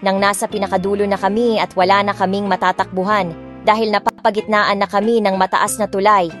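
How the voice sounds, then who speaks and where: Expressive woman narrating a romance audiobook. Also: medium pitch, a little fast, faint music behind. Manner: expressive, mixing two languages.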